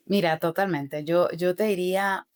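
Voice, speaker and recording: A woman's voice: lowish voice, fairly even intonation, normal speed, darkish tone. A middle-aged woman, a former corporate manager, answering interview questions earnestly, with frequent fillers and tag questions. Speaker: podcast guest, author and former corporate manager.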